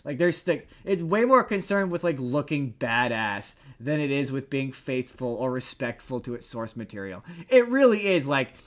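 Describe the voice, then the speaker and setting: A man co-hosting a podcast, chatting casually about pop culture in an excitable, stammering, emphatic way with casual swearing. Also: mid-range voice, moderate pace, hardly any pauses. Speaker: podcast co-host.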